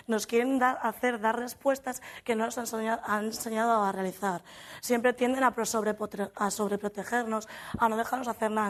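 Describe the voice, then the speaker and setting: A young woman on a youth panel earnestly presenting workshop conclusions, often correcting herself mid-word. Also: fairly high pitch. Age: young adult.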